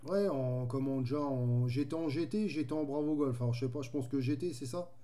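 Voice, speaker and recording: A man's voice: mid-range voice, fairly quick, warm tone. A man chatting casually as a radio hobbyist, easygoing and rambling in his way of talking. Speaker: amateur radio operator.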